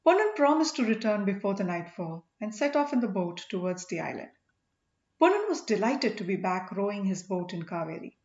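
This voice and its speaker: A woman reading an audiobook story in an expressive, scripted narrating style. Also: medium pitch, fairly quick. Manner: expressive, acts out dialogue. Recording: echoey room, dull lo-fi sound.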